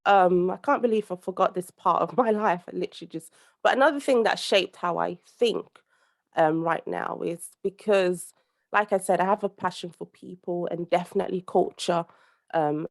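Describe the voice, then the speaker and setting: A young adult woman from the UK, a guest speaking earnestly over a call, rambling with many fillers and restarts. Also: on the low side. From United Kingdom. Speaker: podcast guest, social commentator. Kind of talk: conversational interview over a call.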